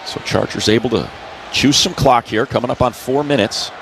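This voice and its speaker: A man's voice: medium pitch, normal speed. A man doing live radio play-by-play of American football in clipped, descriptive phrases. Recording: crowd and ambient noise behind. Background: stadium crowd noise.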